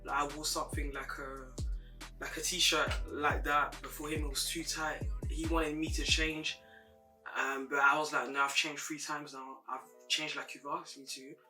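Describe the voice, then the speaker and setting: Young woman, deep voice, steady flat tone, candid interview talk, mic set back, music playing behind. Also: moderate pace, recorded from a distance, low-quality recording. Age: young adult. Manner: reflective and candid, speaking in a level tone. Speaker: interview guest.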